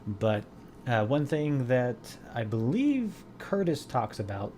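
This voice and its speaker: A man's voice: medium pitch, very animated, slow pace, warm tone, a little hiss or hum. A man hosting a podcast in casual chat, rambling with many fillers and restarts. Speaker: podcast host.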